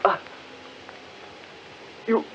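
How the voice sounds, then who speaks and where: A male actor playing an excitable gentleman quick to panic, with theatrical, stammering delivery in 1940s radio horror style. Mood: shaken. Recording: muffled, hissy 1940s radio recording.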